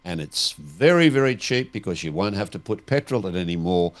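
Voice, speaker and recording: Low-voiced Australian man hosting casually. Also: sing-song, brisk. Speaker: talk show co-host.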